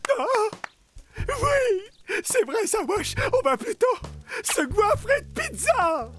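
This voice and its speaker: Casting: cheerful greedy cartoon boar, none too bright, high-pitched and unhurried, over music. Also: big pitch swings, heavily acted. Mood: eager, greedy. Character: gluttonous, dim but cheerful wild boar. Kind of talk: French cartoon dubbing. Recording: music behind the voice.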